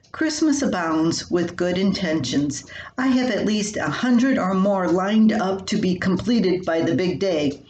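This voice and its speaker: Older woman, lowish pitch, folksy storytelling, expressive column reading, noticeable room echo. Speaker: columnist reading her own writing. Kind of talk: personal humorous column read aloud. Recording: roomy echo with faint hiss.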